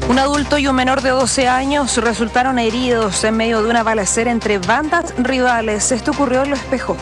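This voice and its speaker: Female radio news anchor, Chilean, slightly high voice, scripted reading over faint music. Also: brisk.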